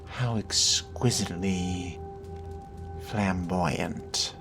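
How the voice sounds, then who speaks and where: A man's voice: low-pitched, fairly even intonation, drawn-out words, heavily acted. A man voicing a refined, world-weary vampire fledgling in a game role-play, dry, formal and understated. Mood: dryly sardonic. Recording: loud music behind the voice.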